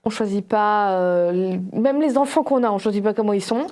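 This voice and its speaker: A woman's voice: mid-range voice, normal speed. A woman speaking as a panelist in a casual Bible discussion, explaining things like a storyteller who acts out examples. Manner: animated storytelling, acts out imagined quotes. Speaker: panelist on a religious discussion show.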